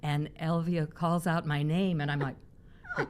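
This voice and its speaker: A woman in her sixties from Missouri speaks in a low voice. She is a talk show guest who chats freely and tells stories about her own life. She laughs often as she talks. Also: fairly even intonation, measured pace, slight background noise. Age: 60s. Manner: chatty storyteller, laughs often. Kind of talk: casual interview chat.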